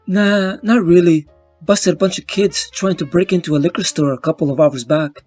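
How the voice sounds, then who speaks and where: Man acting a high-voiced, weary young superhero. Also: fairly even intonation, normal speed, a little mellow, faint music behind. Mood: tired, casual. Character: young, weary, wisecracking male superhero narrating his troubles. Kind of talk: scripted comic-book narration and dialogue.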